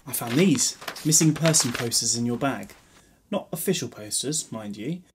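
A man's voice: medium pitch, lively intonation, moderate pace, a little hiss or hum, close to an everyday voice. Eccentric, rambling Time Lord played by a man. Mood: chatty, breezy. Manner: whimsical, rambling, breezily distracted. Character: eccentric, chatty, newly regenerated male Time Lord. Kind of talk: audio drama acting.

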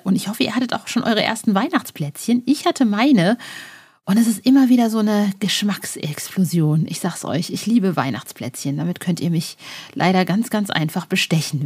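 A woman presents a podcast with a medium-pitched voice. She is chatty and informal, full of enthusiasm, and talks straight to her listeners in loose, slangy phrases. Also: darkish tone. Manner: enthusiastic, gushing. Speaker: podcast host.

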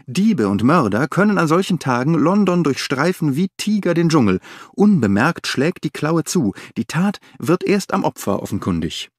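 Brief: a man reading an impatient, sardonic audiobook detective, lively pitch swings, dry close sound. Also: moderate pace, no room sound. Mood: restless, sardonic. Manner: animated, sardonic, declaiming. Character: restless, impatient middle-aged male detective. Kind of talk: audiobook character voice.

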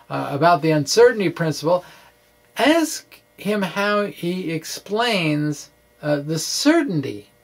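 A middle-aged man giving an informal physics explainer in a halting, conversational, wry way, speaking into a microphone indoors. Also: on the high side. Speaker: physics blogger. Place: small indoor room with bookshelves. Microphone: microphone on a stand in front of him.

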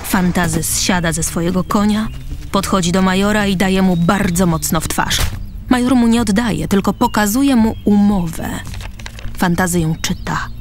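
A woman's voice: medium pitch, normal speed. A woman reading scripted present-tense narration for a radio play. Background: music.

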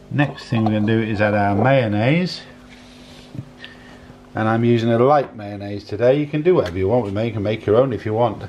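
A man in his sixties giving a casual, unhurried cooking demonstration in a home kitchen, often repeating his phrases as he goes. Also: lowish voice. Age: 60s. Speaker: home cook, presenter. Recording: clattering dishes, clicks, kitchen noise.

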